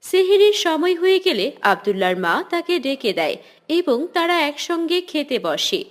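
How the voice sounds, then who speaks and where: A woman's voice: sits high, sing-song, moderate pace. A woman narrating a children's cartoon story in a scripted storytelling style.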